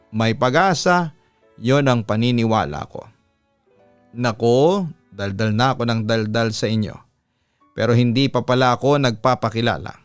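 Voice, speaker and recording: A man with a Filipino accent, a radio announcer reading listener letters as expressive, conversational first-person stories. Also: medium pitch, clear, resonant tone, dry recording. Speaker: radio announcer and story narrator. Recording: background music under the voice. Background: instrumental music.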